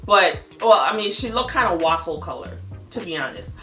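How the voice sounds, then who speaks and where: Bright-voiced, blunt woman gossip vlogger in echoey room. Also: on the low side, normal speed. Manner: blunt, animated storytelling with frequent swearing. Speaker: gossip vlog host. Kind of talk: casual opinionated commentary. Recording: echoey room with music underneath.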